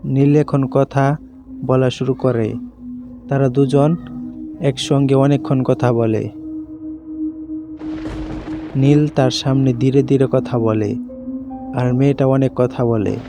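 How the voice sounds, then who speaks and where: A man's voice: mid-range voice, fairly even intonation, takes it slowly, long pauses, music in the background. A man narrating a scripted horror story for a cartoon.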